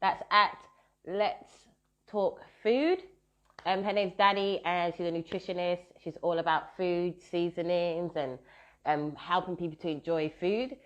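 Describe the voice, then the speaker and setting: A woman's voice: lowish voice, steady tone, unhurried, muffled recording. A woman hosting a live-stream interview, effusive and full of compliments, speaking over a video call. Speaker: host.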